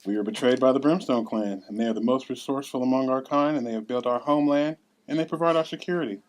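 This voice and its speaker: A man's voice: medium pitch, fast, close to an everyday voice. A man reading the part of a solemn exiled council elder in an earnest, expository way at a script table read. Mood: grave, explanatory.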